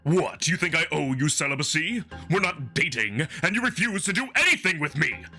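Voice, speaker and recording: A man voicing a proud, earnest wolf alien in a visual novel drama, formal and emphatic, quick to defend himself. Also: on the high side, big pitch swings, pushed and bright, soft background music, full character voice. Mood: indignant.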